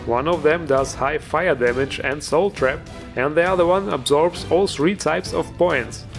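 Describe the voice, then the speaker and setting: A man's voice: a little high, unhurried, gravelly, faint music behind. A man hosting a video game mod show, giving informal, enthusiastic commentary with a joking streak. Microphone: voice-over recorded over gameplay music.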